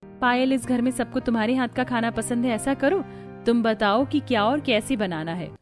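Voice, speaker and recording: Casting: woman playing dutiful cartoon daughter-in-law, polite, earnest, higher register, music bed. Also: normal speed, close to an everyday voice. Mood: gentle, firm. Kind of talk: Hindi cartoon story dialogue. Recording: music behind the voice.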